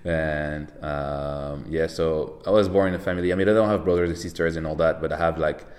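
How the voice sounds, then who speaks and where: A Frenchman in his thirties speaks in a very low, deep register. He talks loosely and easily, padding his thoughts with fillers and restarting sentences as they come to him. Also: brisk. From France, Paris. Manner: relaxed, rambling with many fillers and restarts, laughs easily. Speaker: podcast guest, tech entrepreneur. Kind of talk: casual interview chat.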